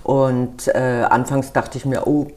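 A woman's voice: rumbling low, level tone, slow pace, a little mellow, a little hiss or hum. An older woman from Munich with a Bavarian accent, a guest in an interview, speaking directly and casually with frequent fillers. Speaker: interview guest, activist group organizer. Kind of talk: conversational interview.

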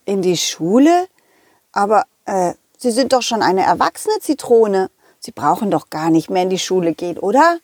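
A woman voicing a cheerful, excitable, loyal dog who tells stories to children in a playful, chatty way. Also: mid-range voice, normal speed, no echo, clean studio sound, pitched below the performer's own voice. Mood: friendly, curious. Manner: playful, chatty, giggly, addressing children directly. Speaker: puppet character host. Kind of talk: children's audio story narration.

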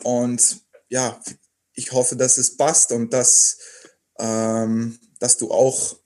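A man's voice: mid-range voice, flat delivery, very slow, warm tone. A young man, a sportsman guest in a podcast interview, speaking hesitantly and searching for words. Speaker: athlete guest.

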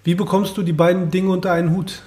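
A male interviewer with a high voice for a man asks his questions conversationally. He puts in a few hesitant fillers before each one. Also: flat delivery, fast talker, darkish tone.

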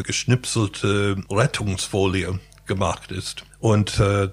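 Slow-spoken man with English-accented German. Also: on the low side. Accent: English-speaking accent in German. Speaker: interview guest, pastor and painter. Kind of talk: reflective interview talk.

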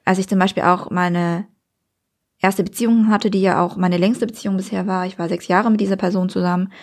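A woman's voice: medium pitch, fairly even intonation, a little fast, a little mellow. A woman speaking hesitantly in a casual podcast conversation, searching for words about her relationships. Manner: searching, hesitant, many fillers.

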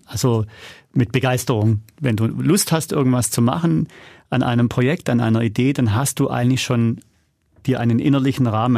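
A man's voice: medium pitch, gravelly, darkish tone, dry recording, clean studio sound. A man speaking as a podcast guest, telling stories conversationally and acting out bits of quoted dialogue. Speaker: podcast guest, audio drama producer. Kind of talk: conversational interview answers.

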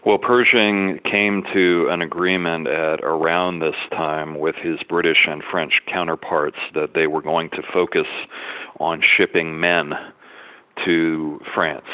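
A very deep-voiced man from the United States answers interview questions in a measured, explanatory way, sometimes restarting a thought. His voice comes over a narrow, phone-like line. The sound is dry and close. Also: steady tone, dry recording. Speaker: military historian guest.

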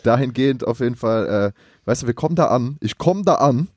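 A man's voice: mid-range voice, animated, brisk, dry recording, clean studio sound. A young man chatting casually on a podcast, telling rambling stories in slangy speech. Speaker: podcast co-host. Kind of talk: casual chat.

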